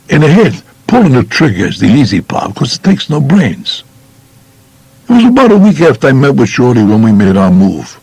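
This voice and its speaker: A man's voice: mid-range voice, vocal fry, a little mellow, breathy edge, dry recording, full character voice. A man playing a tough, streetwise New York mobster recounting his crimes bluntly in colloquial first-person audiobook narration. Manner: colloquial, blunt, matter-of-fact.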